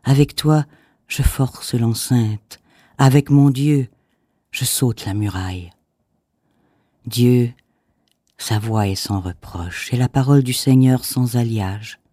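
A man reads scripture in an unhurried, reverent cadence, pausing between each short phrase. His voice is warm and mellow, sitting in a middle register. The recording is dry and close, with no room sound. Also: medium pitch, no echo. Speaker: scripture reader. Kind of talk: slow solemn Bible reading.

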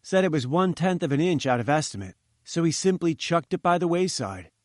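Storybook role: young journalist, confident, amused, slangy, fairly high voice, close dry sound male narrator in character. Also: expressive, brisk, dry recording, natural voice. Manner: jaunty, slangy. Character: breezy, confident young newspaperman, amused and friendly.

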